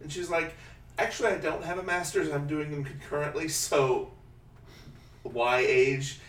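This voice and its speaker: A man in his thirties in casual chat, animated and laughing often, slipping into exaggerated quoted voices. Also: on the high side, a little slow. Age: 30s. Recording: roomy echo, distant mic, faint hiss.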